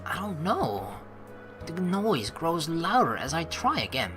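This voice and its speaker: A woman playing through a game as host, reading its text aloud and chatting with playful, expressive commentary. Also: sits low, a little slow, music in the background. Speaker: let's play host.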